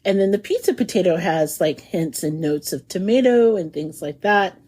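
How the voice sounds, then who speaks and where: A woman's voice: fairly low pitch, lively intonation, normal speed, darkish tone, slightly rough. A woman co-hosting a food podcast in casual chat, bubbly and chatty, laughing often. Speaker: podcast co-host.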